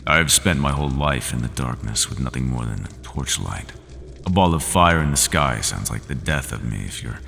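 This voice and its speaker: A man voicing a resigned, half-human horned monster in an audio roleplay, speaking slowly and formally without contractions. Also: a bit bright, slight background noise, exaggerated voice. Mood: pleading. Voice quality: very deep and gravelly. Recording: long hall reverb, faint ambience.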